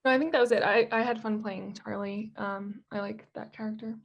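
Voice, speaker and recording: A woman's voice: mid-range voice, fairly even intonation, a little fast, vocal fry. A woman chatting casually over a video call as a volunteer reader giving feedback. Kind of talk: casual chat.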